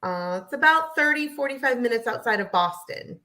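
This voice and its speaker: An American woman from New Hampshire telling her story as an interview guest in an animated, chatty way. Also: on the high side, a little fast. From southern New Hampshire, United States. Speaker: guest, realtor.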